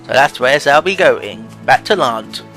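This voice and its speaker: Bright-voiced man doing game commentary. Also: medium pitch, expressive, a little slow, soft background music. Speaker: let's play host.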